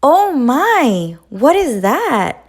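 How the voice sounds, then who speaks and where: A woman voicing a fussy, bossy male duck in a children's storybook read-aloud, huffy and quick to scold. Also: high-pitched, very animated, pitched above the performer's own voice, exaggerated voice.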